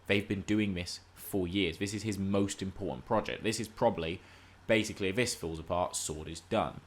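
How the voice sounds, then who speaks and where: A man's voice: low-pitched, normal speed. A man co-hosting a podcast, discussing film and TV casually in an emphatic, analytical, argumentative way. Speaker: podcast co-host.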